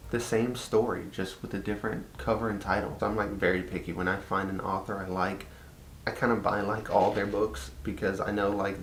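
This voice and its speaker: A man's voice: creaky, fairly bright tone. A young man from Texas with a Texan Southern accent, chatting casually in a personal vlog and filling his talk with "like" and repeats. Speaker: vlogger. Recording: echoey room with faint rumble.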